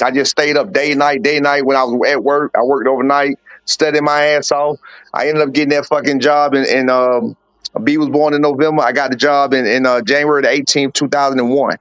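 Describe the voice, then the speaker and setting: A man's voice: medium pitch, fairly even intonation, fairly quick, fairly bright tone, dry recording. A man in his forties, a boxing coach, telling his life story in a candid, blunt way with slang and swearing in a casual podcast interview. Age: 40s. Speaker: boxing coach and father of a fighter.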